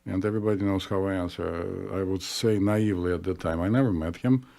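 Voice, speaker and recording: A man with a Russian accent, co-hosting a psychology podcast in a measured, explanatory way with frequent pauses. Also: sits low, warm tone. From Soviet Union. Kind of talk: casual podcast discussion.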